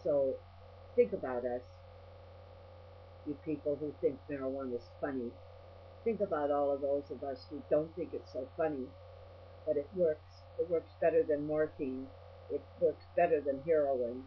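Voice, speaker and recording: A woman's voice: low voice, moderate pace. An older woman video blogger giving a rambling personal monologue in a blunt, salty-tongued, ranting manner. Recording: dull lo-fi audio with mains hum.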